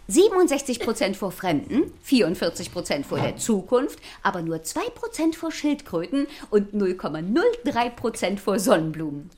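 An actress playing a flustered, nerdy expert lecturing children in stilted technical language in a radio play. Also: female voice, medium pitch, very animated, normal speed, hardly any pauses, darkish tone. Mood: explaining. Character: flustered, nerdy woman expert teaching children about fear.

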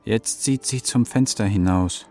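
A mystical eagle in a children's radio drama, solemn and detached. The voice is deep and unhurried, reporting in sparse, measured statements over prominent music. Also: male voice, warm tone. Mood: solemn, calm. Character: male eagle spirit, solemn, trance-like seer.

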